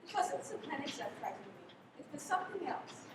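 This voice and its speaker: A woman playing a frightened, mysterious woman in trouble in a radio play, pleading and secretive in an anxious, hushed way. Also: high voice, sing-song, a little fast. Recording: echoey hall from far off, noisy.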